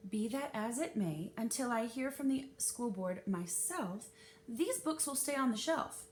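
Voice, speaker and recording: A woman's voice: expressive, unhurried, darkish tone, reverberant. A woman voicing a firm school librarian in a children's storybook reading, pointed, incredulous and coolly sarcastic. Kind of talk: children's novel read-aloud. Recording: noisy with low rumble.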